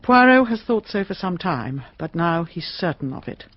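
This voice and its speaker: An actress playing a practical, concerned British woman in a radio drama, decisive and wry with a husky voice. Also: on the low side, sing-song, normal speed. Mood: brisk, wry. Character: brisk, practical, concerned woman friend of a detective. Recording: muffled radio recording.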